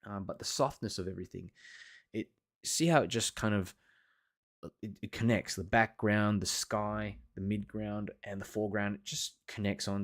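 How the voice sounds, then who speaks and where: Male live workshop host: lowish pitch, informal, encouraging, hesitant delivery with many pauses. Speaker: watercolour teacher hosting a live workshop. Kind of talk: casual livestream chat reading and answering viewer comments.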